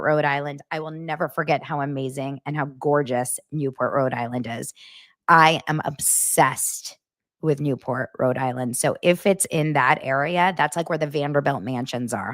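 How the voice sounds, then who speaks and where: A woman's voice: sits low, a bit bright. An American woman hosting a casual pop-culture podcast, chatty and giggly, often laughing at herself. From United States. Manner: chatty, giggly, self-mocking. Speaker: podcast host.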